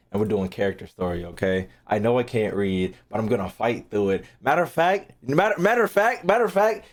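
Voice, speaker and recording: Male streamer, American, higher-than-average voice with very lively swings in pitch; brash, sweary, repetitive banter with chat. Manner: repeats phrases for emphasis. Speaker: streamer hosting a reaction video. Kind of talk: casual livestream chat to viewers.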